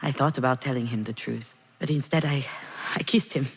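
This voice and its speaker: A woman's voice: a bass voice, a little fast, slightly rough, heavily acted. An actress playing a melancholy, regretful woman in a video game, confiding hesitantly in an introspective monologue. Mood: wistful regret. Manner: hesitant, confessional, reflective. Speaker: video game protagonist narrating her memories.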